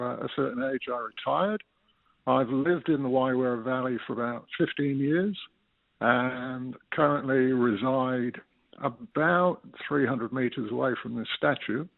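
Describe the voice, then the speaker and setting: A man's voice: mid-range voice, vocal fry, no room sound. An Englishman in his sixties, a retired resident, explaining things steadily as a guest over the phone with a few fillers. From United Kingdom. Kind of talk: phone interview. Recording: tinny phone line.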